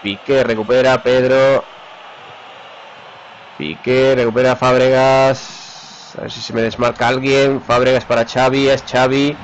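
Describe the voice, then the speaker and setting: Man, gamer play-by-play, slightly dull mic, faint hiss. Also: mid-range voice. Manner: excited, rapid name-calling of players. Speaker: gamer commentating his own match. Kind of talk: live play-by-play commentary.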